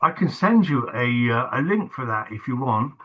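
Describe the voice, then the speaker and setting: Male host, casual talk, bright tone, halting and filler-heavy. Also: mid-range voice, very animated, low-quality recording. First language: not English. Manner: hesitant, many fillers and restarts. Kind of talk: casual video-call chat.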